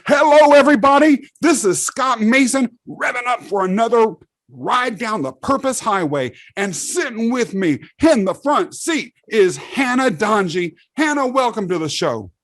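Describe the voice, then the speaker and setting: A man from England hosting a podcast, chatting with a guest in a lively, joking way and laughing easily. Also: extremely high pitch, measured pace, no echo. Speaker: podcast host. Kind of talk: casual interview chat.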